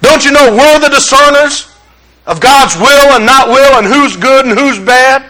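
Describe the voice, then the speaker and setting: A man's voice: very high voice, measured pace, bright, forceful tone, pitched above the performer's own voice, full character voice, poor audio. A man voicing pompous, know-it-all ancient religious leaders, scolding in a haughty, self-important way. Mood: indignant.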